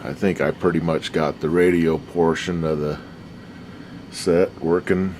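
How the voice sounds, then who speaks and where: A middle-aged man, slightly hoarse, chatting haltingly with many fillers while he fixes an old radio. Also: low voice, level tone. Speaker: radio repair hobbyist. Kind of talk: casual workbench chat. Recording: noisy workshop recording.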